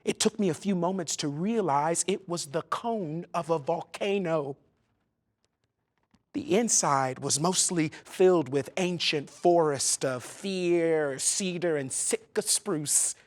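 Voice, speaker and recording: Slow, tender male storyteller. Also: fairly high pitch. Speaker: actor. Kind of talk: dramatic reading of a written letter.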